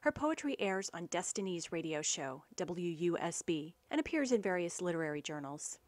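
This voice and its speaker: A woman with a fairly low voice reads a scripted radio introduction. She presents a guest in an announcing style, recorded close and dry with very little room sound. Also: very animated, moderate pace, dry recording.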